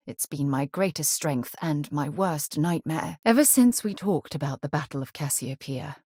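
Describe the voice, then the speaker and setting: A woman's voice: low voice, expressive, a little slow, dark tone, breathy edge, no echo, studio quality, full character voice. An actress playing an earnest, principled woman space explorer in a video game, speaking in a composed, articulate, rather formal way. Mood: earnest, reflective.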